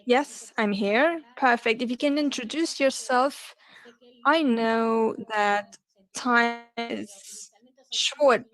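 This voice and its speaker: A woman interpreting a panel discussion live, her voice set a little higher than most. She moves slowly and haltingly, pausing often and correcting herself mid-phrase. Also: fairly bright tone, poor audio. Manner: halting, with pauses and self-corrections. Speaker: simultaneous interpreter.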